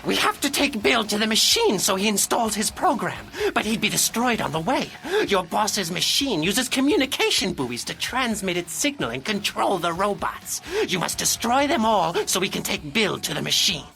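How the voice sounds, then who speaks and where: An actor voicing a quirky, energetic robot gambler in a video game, giving urgent mission instructions in a creaky voice. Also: mid-range voice, moderate pace, hardly any pauses, soft background music. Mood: urgent, planning.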